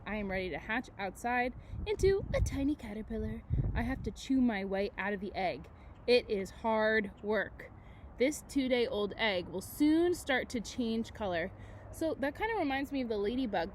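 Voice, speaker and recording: A woman's voice: medium pitch, a little hiss or hum. A woman teacher reading a picture book aloud to children, expressively, with chatty asides and questions to her young listeners.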